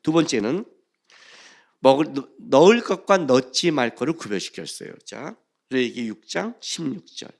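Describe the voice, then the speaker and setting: A man preaching a sermon as a pastor, reading scripture and questioning the congregation, swinging between loud emphasis and hushed phrases. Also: medium pitch, animated, moderate pace, vocal fry.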